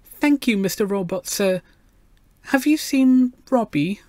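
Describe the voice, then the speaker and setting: A man voicing an earnest, very polite little girl in a storybook, with a halting treble voice. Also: high-pitched, lively intonation, a little mellow, no echo, studio quality, voice pushed up. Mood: earnest, hopeful.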